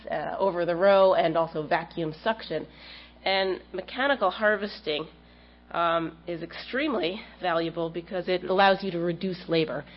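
A woman in her thirties with a cold giving an academic research seminar, explaining her work with frequent ums. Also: fairly low pitch. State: has a cold, slightly stuffy. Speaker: plant scientist giving a research talk. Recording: dull lo-fi lecture audio with faint hum.

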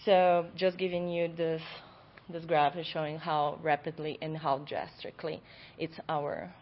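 A young adult woman, a graduate student, giving an academic conference presentation in a loud voice in a lecture room. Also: sits low, level tone, unhurried, a little hiss or hum. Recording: dull lo-fi recording.

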